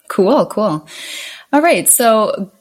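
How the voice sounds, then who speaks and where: A woman's voice: mid-range voice, expressive, drawn-out words. A woman from Argentina hosting a podcast interview, asking questions in a friendly, upbeat way.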